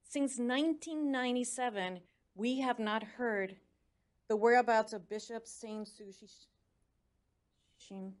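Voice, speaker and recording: A woman's voice: fairly high pitch, a little slow, long pauses. Spanish-accented woman giving an earnest speech. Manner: earnest, urging, stumbles over foreign names. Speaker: commissioner on religious freedom. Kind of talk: prepared public speech.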